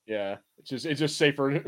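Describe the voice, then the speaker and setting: A man hosting a casual podcast, chatting loosely about a movie and swearing now and then. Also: mid-range voice. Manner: fast, animated, swears casually. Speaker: podcast host. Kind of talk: casual film-watching podcast chat.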